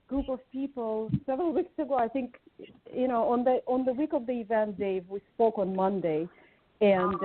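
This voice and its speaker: A woman from Ukraine speaking as a guest on a group call, sharing personal reflections in an earnest, hesitant way with pauses between short phrases. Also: mid-range voice, a little hiss or hum. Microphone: phone call. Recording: tinny, band-limited phone line.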